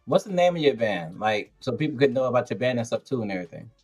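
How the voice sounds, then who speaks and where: A man's voice: mid-range voice, fast talker, breathy edge, faint music behind. A man hosting an interview, telling a story in a loose, slangy way and acting out what people said. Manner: animated, slangy, acts out quotes. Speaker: interview host. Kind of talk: casual storytelling chat.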